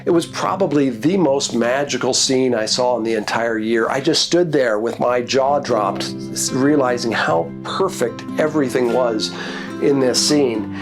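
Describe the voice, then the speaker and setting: A congested man with a stuffy, cold-heavy voice tells stories in a calm, reflective way as the host of his own video. His voice sits in an ordinary middle pitch. Soft guitar music plays faintly underneath him. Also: unhurried. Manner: relaxed, reflective storytelling. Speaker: nature photographer. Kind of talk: casual narrated photo recap.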